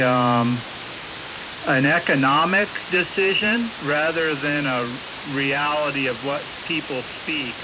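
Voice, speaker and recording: A man chatting casually as an amateur radio operator, heard over a shortwave radio link. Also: a little high. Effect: shortwave radio transmission. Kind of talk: casual radio contact chat, ending turns with "over". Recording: muffled, hissy amateur radio signal.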